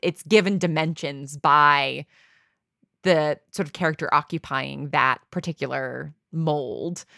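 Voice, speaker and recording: A woman's voice: sits low, takes it slowly, vocal fry, bright, forceful tone, no room sound, clean studio sound. A woman being interviewed casually, thinking aloud in a meandering way with lots of filler words. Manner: thinks aloud, meandering, frequent "like" and "you know". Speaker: interview guest, audio drama writer.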